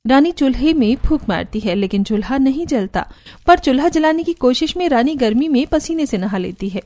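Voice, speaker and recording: A woman's voice: high-pitched, lively intonation, fairly quick, a little mellow. A woman working as a narrator, reading a scripted story.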